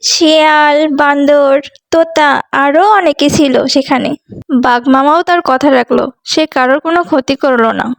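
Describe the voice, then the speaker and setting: A woman's voice: high voice, steady tone, normal speed, no echo, low-quality recording. A woman reading scripted narration for a children's animal story.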